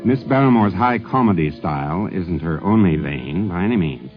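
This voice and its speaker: A man's voice: low-pitched, animated, normal speed, loud music behind the voice. A man hosting and narrating a scripted tribute program in 1950s radio style. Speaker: host and narrator. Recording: dull vintage 1950s radio broadcast.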